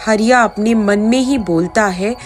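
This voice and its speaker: A woman narrating an animated folk story in a scripted storytelling style. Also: medium pitch, measured pace, soft background music.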